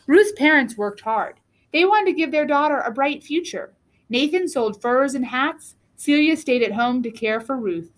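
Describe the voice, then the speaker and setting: A woman reading a children's book aloud as a storyteller, with deliberate, expressive phrasing. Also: high-pitched. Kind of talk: read-aloud storybook narration.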